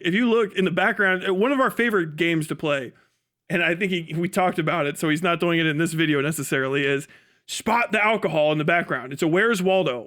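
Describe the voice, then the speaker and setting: A man's voice: sits high, a bit bright. A man doing profane, casual livestream commentary in a mocking, derisive manner.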